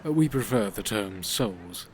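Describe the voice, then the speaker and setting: An actor playing a polite, unflappable, eccentric reaper in an audio drama, speaking formally and with fussy precision. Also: male voice, on the low side, animated, slight background noise. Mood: calm, fussy. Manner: formal, measured, fussily precise.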